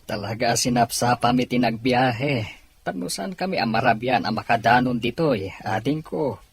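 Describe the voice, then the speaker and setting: A man playing a caring, steady father with a raspy voice in an Ilocano audio drama. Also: medium pitch, moderate pace. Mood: reassuring, grateful.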